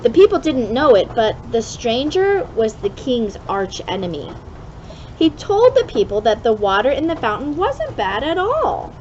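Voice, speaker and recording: A woman reading a children's storybook aloud in an expressive way, with deliberate dramatic emphasis. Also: fairly high pitch, dull audio, a little hiss or hum. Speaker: storybook reader for a kids club.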